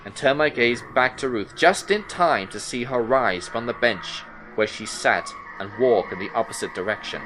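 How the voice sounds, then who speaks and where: A man playing a troubled detective who narrates an eerie horror audio drama in the first person, in a measured, brooding way. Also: medium pitch, lively intonation, background noise, close to an everyday voice. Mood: watchful, musing. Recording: music under the voice. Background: music soundtrack.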